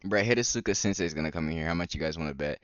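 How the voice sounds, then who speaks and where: A man's voice: deep voice, rapid-fire, lo-fi sound. A young man streaming a game, reading visual novel text aloud with casual reactions.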